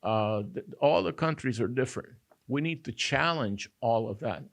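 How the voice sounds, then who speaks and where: An older man from Cuba, speaking as a panelist in halting, earnest roundtable remarks. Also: medium pitch, lively intonation, vocal fry. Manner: halting, with restarts and fillers.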